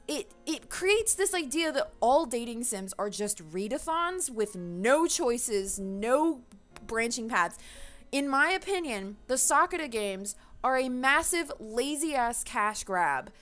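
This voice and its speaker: A woman with a mid-pitched voice talks straight to her viewers in a casual, opinionated rant. She is emphatic and exasperated, with informal, mildly sweary phrasing. Music plays faintly behind her. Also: fairly bright tone. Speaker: gaming video creator.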